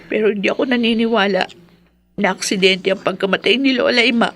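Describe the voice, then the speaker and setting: A woman's voice: mid-range voice, a little fast, fairly bright tone. A woman playing a devoted, stubborn young granddaughter in a radio horror drama, polite and emotive in delivery. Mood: grieving, insistent.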